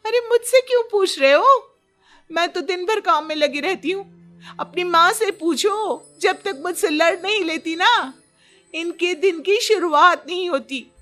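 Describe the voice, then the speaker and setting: A woman voicing an indignant young Indian wife in a cartoon story, with a shrill, theatrical, complaining manner. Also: measured pace, a bit bright, faint music behind. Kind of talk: exaggerated cartoon story dialogue.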